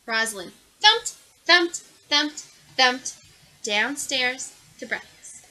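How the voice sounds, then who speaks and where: Animated woman reading a picture book. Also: high-pitched, slow pace, rough recording. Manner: animated, expressive. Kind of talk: children's storybook read-aloud.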